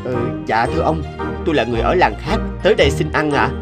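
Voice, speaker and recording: A man's voice: mid-range voice, fast talker, loud music behind the voice, close to an everyday voice. A man playing a poor, forgiving wandering woodcutter, polite and earnest, in a fairy-tale cartoon. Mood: weary, pleading. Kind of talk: animated fairy-tale dubbing.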